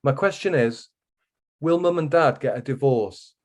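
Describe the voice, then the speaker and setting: A man's voice: medium pitch, expressive, unhurried, voice pushed up, lightly acted. A man voicing earnest, bossy schoolboys in a storybook reading. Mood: earnest, urgent.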